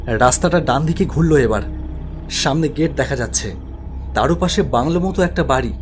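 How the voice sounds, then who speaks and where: A man's voice: medium pitch, expressive, normal speed, a little hiss or hum. A man narrating a scripted spooky story in a dramatic, suspenseful way.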